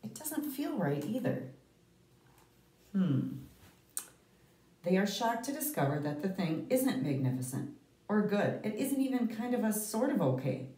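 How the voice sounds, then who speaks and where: A woman reading a picture book aloud to children, with deliberate, emphatic phrasing and dramatic pauses. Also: mid-range voice, big pitch swings, dark tone. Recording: roomy, echoey, distant microphone.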